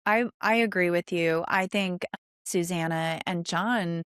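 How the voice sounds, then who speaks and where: A woman with a low-pitched voice hosts an interview in a conversational style. She speaks with emphasis and enthusiasm. The sound is dry and close, with little room in it. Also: unhurried, fairly bright tone, clean tone, no room sound. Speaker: podcast host interviewing an author.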